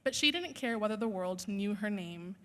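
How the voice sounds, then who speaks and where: A young woman whose first language is Urdu, delivering an earnest, measured graduation speech from a school stage. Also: medium pitch, animated. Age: young adult. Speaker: valedictorian.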